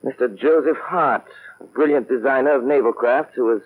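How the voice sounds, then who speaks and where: An actor playing a composed, analytical gentleman detective in an old radio drama, measured, grave and precise. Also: mid-range voice, natural voice. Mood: resolved. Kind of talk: 1930s-40s radio drama acting. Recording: muffled, narrow old radio recording.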